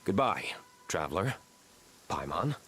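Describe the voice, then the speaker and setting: Low-voiced, stern young nobleman. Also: big pitch swings, unhurried, a bit bright, a little hiss or hum, full character voice. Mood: curt. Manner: terse, formal, aloof. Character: stern, reserved young nobleman and winery owner. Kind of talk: video game voice acting.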